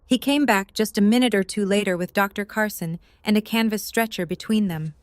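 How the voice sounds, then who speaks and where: A woman's voice: medium pitch, a little fast, no echo, clean studio sound. A woman reading scripted third-person narration for an audio drama.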